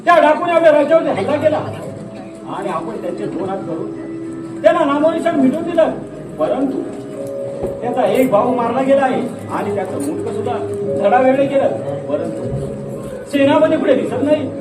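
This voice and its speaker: A man's voice: very high voice, expressive, normal speed. A man playing a cautious, authoritative king in a folk stage drama, speaking in a stately, declamatory manner. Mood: solemn. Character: cautious, authoritative king advising his officers. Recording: very echoey hall, distant mic, crowd, music.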